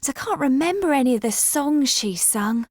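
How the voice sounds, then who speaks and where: A woman's voice: high voice, animated, moderate pace, no echo, studio quality. An actress playing a wistful, homely woman reminiscing in folksy dialect in an audiobook drama. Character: wistful, homely woman recalling family times.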